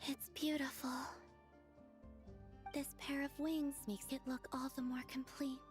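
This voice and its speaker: A woman voicing a serene young moon goddess in an anime game, gentle, detached and softly spoken. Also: sits high, faint music behind. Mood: tender. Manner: soft, calm, unhurried.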